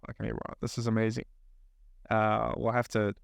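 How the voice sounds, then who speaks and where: A man in his thirties with a New Zealand accent speaks at a middle pitch with a gravelly edge, earnest and reflective as he asks probing questions. The recording is close and dry, right at the mic. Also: monotone, moderate pace, dry recording. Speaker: podcast host. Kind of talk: casual interview chat.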